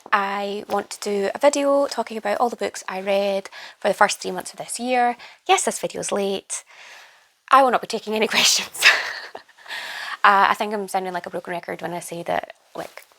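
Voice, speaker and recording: A young woman with a croaky voice from a cold, chatting casually about her reading with many fillers and restarts. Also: medium pitch, normal speed, a bit bright. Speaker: book vlogger. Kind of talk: casual reading wrap-up chat.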